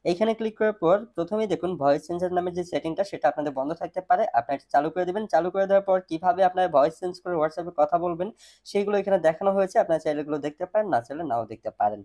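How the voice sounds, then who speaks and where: A man's voice: high voice, very fast, warm tone. A man hosting a phone tutorial, explaining step by step in a repetitive, instructional way. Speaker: tech tutorial host.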